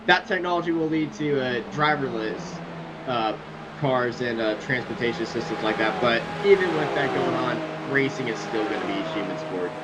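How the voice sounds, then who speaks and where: Man, sim race commentator, energetic play-by-play, loud background noise. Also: mid-range voice, normal speed.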